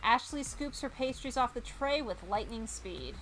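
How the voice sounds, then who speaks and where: A woman's voice: on the high side, animated, normal speed, a bit bright, a little hiss or hum, poor audio. A woman streamer reading a visual novel game aloud in a theatrical, playful narrating style.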